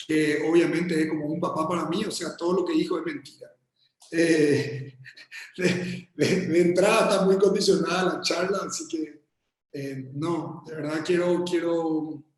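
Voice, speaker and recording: A man with a Paraguayan accent giving a casual remote talk as a guest architect, halting and friendly, often repeating his words. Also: fairly high pitch, heavy reverb, rough recording. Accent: Paraguayan Spanish. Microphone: video call.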